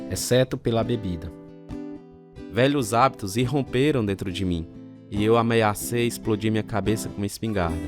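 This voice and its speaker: A man's voice: on the low side, lightly acted. An actor playing a troubled recovering alcoholic man, narrating his life story in an earnest, confessional way. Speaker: narrator and protagonist. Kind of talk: dramatized testimony narration with acted scenes.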